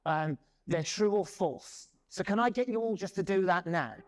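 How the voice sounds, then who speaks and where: A man in his forties giving a loud, lively presentation to a conference audience. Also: high-pitched, moderate pace. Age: 40s. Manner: loud, lively, self-deprecating. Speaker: conference speaker. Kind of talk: presentation talk. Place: conference room.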